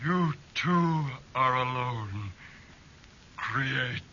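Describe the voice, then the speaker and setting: Huge anguished monster, slow and far off. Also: male voice, mid-range voice, lively intonation, pushed and bright, full character voice. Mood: cold vengeance. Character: huge misshapen man-made monster, male, anguished and vengeful. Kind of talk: old-time radio horror acting. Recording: muffled, noisy old radio broadcast, distant.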